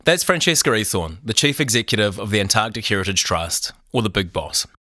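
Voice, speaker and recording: Young male narrator, low-pitched, measured documentary read. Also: very animated, bright, forceful tone, no room sound, clean studio sound. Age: young adult. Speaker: documentary narrator and team member.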